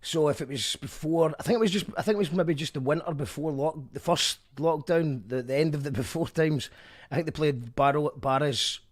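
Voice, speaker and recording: Scottish male radio host, brisk delivery, chatty and casual. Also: on the high side. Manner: friendly, chatty, local slang.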